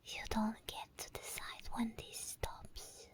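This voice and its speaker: A woman voicing an obsessed, possessive female sleep paralysis demon in an ASMR roleplay, in a breathy, teasing, intimate whisper. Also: takes it slowly, close to an everyday voice. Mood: obsessive, intimate.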